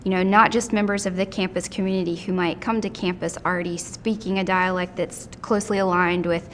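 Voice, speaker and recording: A woman with a fairly low voice speaks as an educator, careful and earnest. A faint rumble hums in the background. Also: fairly even intonation, fairly quick, a bit bright. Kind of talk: interview commentary.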